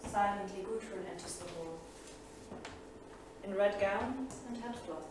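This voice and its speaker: A proud, tragic saga heroine, played by an actress, solemn and deliberate in a mid-pitched female voice. She declaims as in a stage play, far from the microphone in a very echoey theatre with clear background noise. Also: close to an everyday voice. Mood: solemn, mournful. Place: small theatre studio, indoors.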